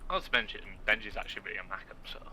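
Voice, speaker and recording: A man's voice: on the low side, rapid-fire, sharp tone, a little hiss or hum, low-quality recording. A young man with a Yorkshire accent, joking and rambling in casual chat while playing an online game. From Yorkshire, England. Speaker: gaming co-host.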